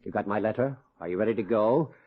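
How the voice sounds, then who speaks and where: A man's voice: on the low side, fast, breathy edge. An actor playing a cold, curt and domineering father in an old-time radio drama. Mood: cold, irritated. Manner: curt, scolding, imperious. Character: cold, gruff, domineering father, well-off man. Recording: muffled, tinny old radio recording.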